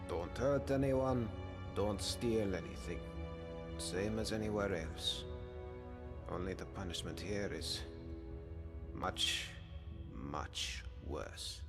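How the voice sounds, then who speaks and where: An actor playing a stern but helpful townsman in a fantasy game, speaking to a stranger in a deliberate, wry, warning way. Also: low voice, music in the background. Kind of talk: video game character dialogue.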